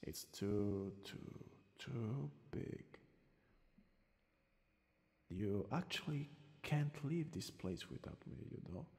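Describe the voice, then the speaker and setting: A man with an Italian accent playing a courteous, sly vampire lord in a hushed, halting ASMR roleplay. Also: mid-range voice, animated, vocal fry. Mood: courteous, faintly menacing. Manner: halting, hushed, slipping into whispers and soft chuckles. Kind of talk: ASMR roleplay, improvised acting. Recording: reverberant hall sound with music behind. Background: music.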